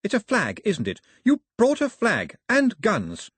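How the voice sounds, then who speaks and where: A man's voice: high-pitched, wildly swooping pitch, measured pace, a bit bright, slightly rough, dry recording, studio quality, voice pushed up, heavily acted. A man reading aloud and voicing a headstrong, fiery young woman who speaks passionately and defiantly.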